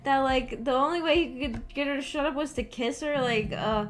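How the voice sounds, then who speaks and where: A woman's voice: high voice, normal speed. A woman livestreamer chatting casually with viewers in a giggly, rambling way, peppering her talk with "like". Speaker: livestreamer, visual novel book club host. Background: background music.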